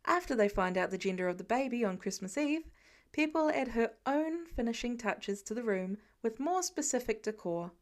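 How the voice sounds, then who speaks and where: High-voiced woman narrating fiction. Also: animated, normal speed. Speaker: amateur audiobook narrator.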